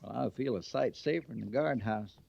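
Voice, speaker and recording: An actor playing a bigoted, needling ex-convict army recruit in an old radio western, with a folksy, ungrammatical drawl. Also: male voice, mid-range voice, a little fast, gravelly, darkish tone, no room sound. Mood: bitter, needling. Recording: muffled vintage radio recording.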